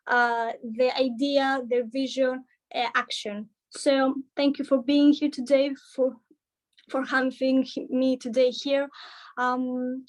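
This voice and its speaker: Businesswoman guest, high-pitched, halting and filler-heavy delivery. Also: a little mellow. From Greece. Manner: hesitant, many fillers and restarts. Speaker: entrepreneur guest. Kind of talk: online panel introduction.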